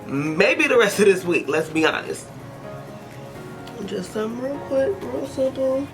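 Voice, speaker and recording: A woman's voice: on the high side, animated, measured pace, music in the background, poor audio. A woman giving a casual hair tutorial, chatty and joking, sniffly with a head cold. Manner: chatty, joking, talks to herself. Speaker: hair vlogger.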